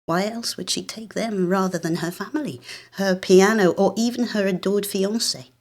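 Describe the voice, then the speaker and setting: A woman with a British accent reading a literary short story in a measured, expressive, dramatic way. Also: medium pitch. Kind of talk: literary short story narration, first person.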